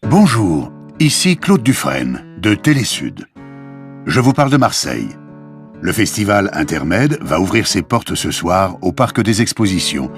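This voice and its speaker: An actor playing an upbeat television reporter in a scripted language-lesson report. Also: male voice, low voice, sing-song, measured pace, soft background music, heavily acted. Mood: upbeat, announcing. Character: upbeat male television reporter interviewing young festival-goers.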